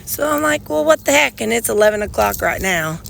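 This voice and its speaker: Chatty woman, gravelly, outdoors. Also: fairly high pitch, animated, brisk, a bit bright, slight background noise. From Missouri, United States. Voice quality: slightly nasal. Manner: rambling, chatty, folksy with frequent restarts; pulling weeds while talking. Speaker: vlogger. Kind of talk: casual chat to camera. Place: outdoors beside a gravel bed and sidewalk. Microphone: phone held in hand.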